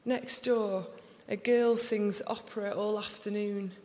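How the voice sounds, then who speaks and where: A young woman with a Sheffield accent reading her poems aloud in a slow, deliberate recital before an audience. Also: medium pitch, steady tone, dark tone. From England. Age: young adult. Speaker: poet. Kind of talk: poetry reading. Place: large concert hall. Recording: live reading in a reverberant hall.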